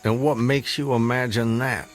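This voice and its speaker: An actor playing a proud, resolute Southern planter of the Civil War in a radio drama, speaking formally and gravely. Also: male voice, medium pitch, steady tone, moderate pace, soft background music, natural voice. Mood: guarded, bitter. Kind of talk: radio drama acting, narrating and dialogue.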